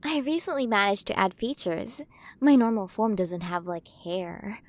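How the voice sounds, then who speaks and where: A woman's voice: medium pitch, normal speed, no room sound, natural voice. A woman voicing a playful, curious alien queen of a galaxy in an ASMR roleplay, speaking to the listener in a fascinated, coaxing way. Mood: chatty, confiding.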